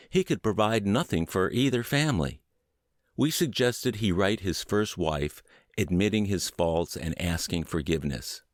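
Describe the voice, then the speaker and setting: A mature man reading a book aloud as scripted narration, in measured, deliberate phrases with frequent pauses. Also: lowish voice, no echo, clean studio sound. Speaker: podcast narrator, reader.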